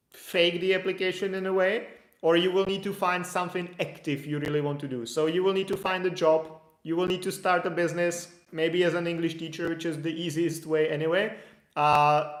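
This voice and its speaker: A young man talks through visa questions in a loose, live-stream way, restarting sentences and filling gaps with "like." His voice sits fairly high but stays flat and even in pitch. The sound is roomy and echoey. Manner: conversational, thinks aloud with frequent restarts and "like". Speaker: immigration consultant answering viewer questions. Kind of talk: live-stream Q&A, casual explanatory. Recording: echoey room.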